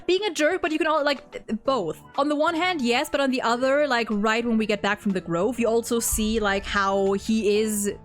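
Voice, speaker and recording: A woman's voice: on the high side, moderate pace, hardly any pauses, faint music behind. A woman hosting a casual, unscripted game discussion, speaking in an emphatic, opinionated, rambling way. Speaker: host of a video game story ranking discussion.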